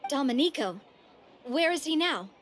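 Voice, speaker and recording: Confident, high-voiced lively young leader. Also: female voice, normal speed, a little hiss or hum. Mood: frank. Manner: assured, informal, direct. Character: confident, breezy young woman leading a city faction.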